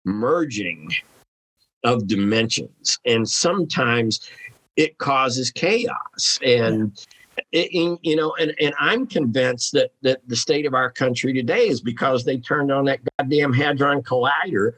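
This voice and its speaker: A man with a mid-pitched, somewhat husky voice speaks as a podcast guest. He talks conversationally and haltingly, working through his thoughts as he goes. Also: a bit bright. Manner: musing, halting, thinking aloud. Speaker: guest author.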